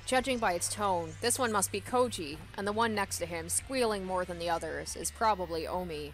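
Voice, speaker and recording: A woman's voice: on the low side, fairly quick, music in the background, rough recording. A woman hosting a let's play, reading a visual novel aloud and adding casual commentary. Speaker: let's play host and narrator.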